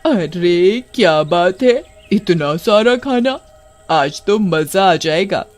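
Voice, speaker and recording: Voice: medium pitch, expressive, takes it slowly, soft background music. A performer voicing a hungry female witch in a cartoon story who mutters greedily to herself. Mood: gleeful.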